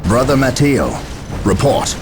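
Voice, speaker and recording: A man's voice: mid-range voice, lively intonation, measured pace, close to an everyday voice. A man acting a stern, zealous space marine captain in a video game, with a grave, formal, commanding manner. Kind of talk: dramatic sci-fi game acting. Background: music.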